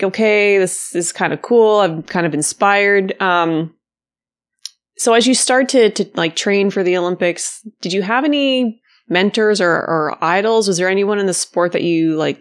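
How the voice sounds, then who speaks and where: A woman hosting a casual interview, asking long, meandering questions and laughing along with her guest. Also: lowish voice. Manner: friendly, laughing, asks long rambling questions. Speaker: interviewer, podcast host.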